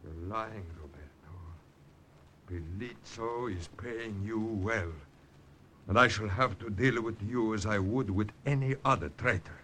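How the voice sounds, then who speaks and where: An actor playing a menacing, suspicious Italian partisan leader who interrogates mockingly in an old-time radio drama. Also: male voice, fairly low pitch, fairly bright tone, slight background noise. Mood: cold menace.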